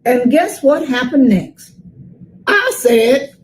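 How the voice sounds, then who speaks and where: An American woman in her sixties reads a children's story aloud with dramatic, deliberate phrasing and emphatic repetition. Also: high-pitched, animated, slight background noise. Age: 60s.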